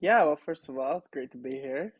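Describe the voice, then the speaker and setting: A young man from Israel, a podcast guest, telling his story in relaxed casual talk with wry asides and many fillers, recorded on his own mic at home. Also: medium pitch, fairly quick, breathy edge, no room sound, lo-fi sound. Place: home setup, self-recording.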